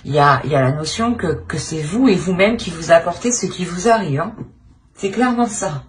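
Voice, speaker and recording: Low-pitched woman reading tarot, noisy recording. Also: lively intonation, brisk. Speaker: tarot reader, channel host. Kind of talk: improvised tarot reading addressed to the viewer.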